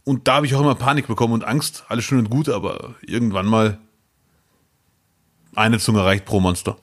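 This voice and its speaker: A man's voice: on the low side, steady tone, fairly quick. A man co-hosting a podcast in casual chat, dropping dry jokes and short agreeing replies. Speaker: podcast co-host.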